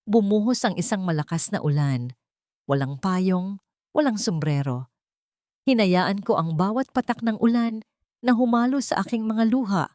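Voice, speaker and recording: A woman's voice: mid-range voice, a little mellow, no room sound, clean studio sound. A woman reading a scripted, dramatic first-person story as an audio drama narrator.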